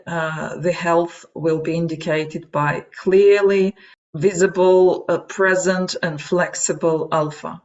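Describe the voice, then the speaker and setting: A native Russian speaker with a Russian accent, presenting an explanatory webinar lecture in informal, hesitant phrasing with frequent asides. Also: medium pitch. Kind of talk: explanatory lecture to an online audience. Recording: dull lo-fi webinar audio.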